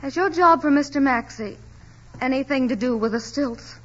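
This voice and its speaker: An actress playing a devoted, stubborn young wife in a 1940s radio drama, speaking earnestly and emotionally. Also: female voice, sits high, moderate pace, a bit bright. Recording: dull lo-fi old radio recording with faint hiss.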